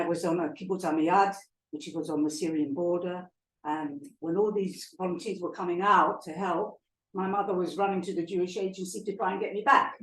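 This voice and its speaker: An older woman from London with a softened London accent, giving a chatty talk to an audience with many fillers and restarts. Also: mid-range voice. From England. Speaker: guest speaker. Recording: echoey hall, heard from far off.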